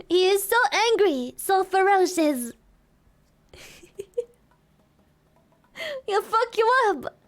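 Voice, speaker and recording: A woman's voice: very high voice, big pitch swings, drawn-out words, pauses often. A woman streamer chatting casually with her viewers, giggly and playful, her throat sore and dry. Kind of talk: casual live-stream chat.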